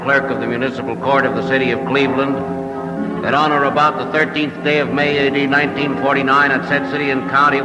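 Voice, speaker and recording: An actor with an American accent playing a solemn court clerk, reciting formally in an old radio drama. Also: male voice, medium pitch, close to an everyday voice. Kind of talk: formal proclamation. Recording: cavernous reverb, loud music, old radio.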